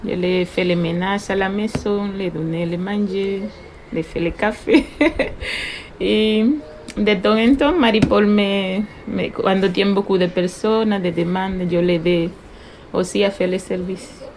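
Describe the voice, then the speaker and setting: A woman's voice: medium pitch, a little slow, background noise. A Dominican woman in her forties with a Dominican Spanish accent, talking hesitantly in a casual radio interview, slipping into French now and then. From Dominican Republic. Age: 40s. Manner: hesitant, slips into French, laughs often.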